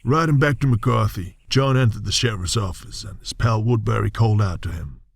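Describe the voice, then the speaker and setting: A man playing the measured storyteller of a western radio serial, reading scripted narration. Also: fairly low pitch, vocal fry, a little hiss or hum.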